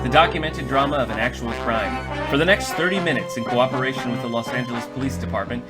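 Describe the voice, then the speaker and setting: A man's voice: medium pitch, natural voice. An actor playing a solemn, authoritative male announcer for a 1950s radio crime drama.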